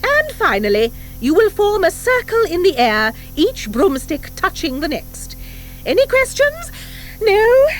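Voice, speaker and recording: Strict witch-school form mistress for a children's audiobook, played by a woman: high, commanding, crisp, with swooping emphasis. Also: wildly swooping pitch, unhurried, faint music behind, heavily acted. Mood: brisk, strict. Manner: crisp, commanding, precise, piercing. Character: stern, formidable, brisk schoolmistress at a witches' academy. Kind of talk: audiobook character acting. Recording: 1984 audiobook from cassette.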